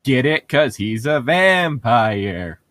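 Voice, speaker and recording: A man co-hosting a casual reading podcast, with a medium-pitched voice and a close, dry sound. He moves between reading aloud and offhand chat, sarcastic and irreverent throughout. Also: big pitch swings, slow pace, a bit bright, dry recording. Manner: sarcastic, irreverent, crude joking. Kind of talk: casual group chat with mocking read-aloud.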